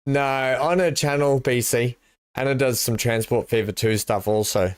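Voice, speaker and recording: A man's voice: medium pitch, normal speed, smooth, no echo, studio quality. A man streaming a building game, casually thinking aloud as he places things. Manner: thinks aloud, relaxed, mumbles placement choices. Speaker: gaming streamer. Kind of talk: casual live-stream commentary while building.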